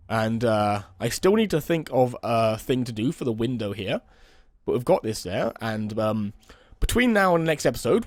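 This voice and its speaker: Young male let's play host, a bit hoarse from a cold, laid-back rambling delivery, mid pitch, dry sound. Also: no room sound. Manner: relaxed, rambling, many "um" and "kind of". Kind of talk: casual gameplay commentary.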